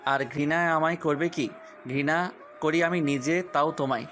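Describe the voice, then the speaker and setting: Male actor as jealous possessive fiancé, higher-pitched, taunting, commanding, romantic audio drama, music behind. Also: steady tone, moderate pace, fairly bright tone, close to an everyday voice. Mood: bitter, scornful. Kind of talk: Bengali romantic audio story acting.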